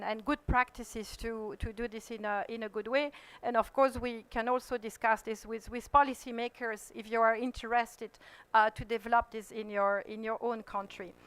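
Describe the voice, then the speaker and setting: A woman moderating a conference panel, speaking haltingly with many fillers and restarts. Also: sits high, fairly bright tone, clean tone, dry recording. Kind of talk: conference presentation.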